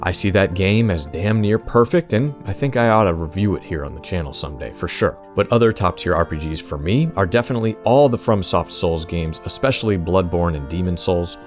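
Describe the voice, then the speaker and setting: A young man from Northern California hosting his video game channel, giving a relaxed, slangy update and answering viewer questions. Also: sits low, a little fast, few pauses, soft background music. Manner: laid-back, conversational, slangy.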